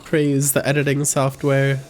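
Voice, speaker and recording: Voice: mid-range voice, monotone, measured pace, noisy background. A streamer chatting casually and joking at their own expense during a gaming stream. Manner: chatty, self-deprecating, laughing.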